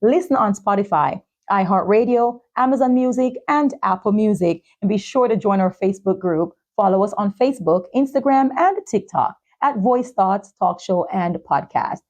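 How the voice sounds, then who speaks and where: Dark, mellow-voiced woman host. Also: medium pitch, moderate pace, hardly any pauses. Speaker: talk show and podcast host. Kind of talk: interviewing and presenting sponsor messages.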